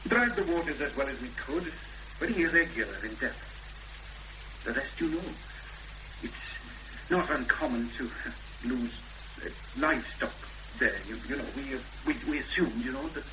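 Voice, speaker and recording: An actor playing a cautious, courteous rural policeman with a Welsh accent and a halting official delivery in a 1970s radio drama. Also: medium pitch, lively intonation, close to an everyday voice. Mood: halting, sombre. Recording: old radio recording, loud hum and noise.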